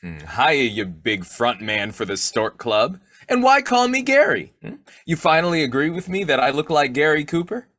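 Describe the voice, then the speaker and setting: An actor playing a boyish, wheedling, wisecracking husband in a 1940s-style radio comedy, with a folksy, exclamatory delivery. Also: male voice, on the high side, big pitch swings, normal speed, few pauses, fairly bright tone, no echo. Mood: sheepish, bantering.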